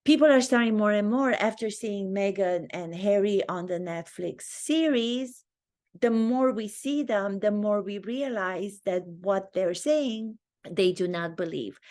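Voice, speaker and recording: A woman's voice: mid-range voice, expressive, measured pace, a little mellow. A woman with a Romanian accent, an acting teacher thinking aloud and commenting to camera in her own video. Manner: thinks aloud, earnest and reflective. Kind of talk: talking-head commentary video.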